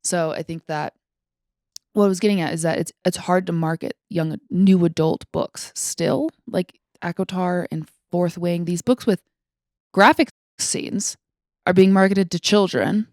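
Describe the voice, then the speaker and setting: A woman's voice: fairly low pitch, fairly even intonation, measured pace, a little mellow, dry recording, clean studio sound. A woman in her twenties chatting casually about books as a podcast co-host, in an informal way peppered with "like". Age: 20s.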